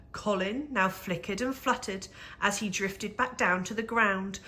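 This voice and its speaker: Bright-voiced woman reading a children's story slowly. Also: medium pitch. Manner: measured, animated storytelling with dramatic emphasis. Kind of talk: expressive picture-book read-aloud in rhyming verse.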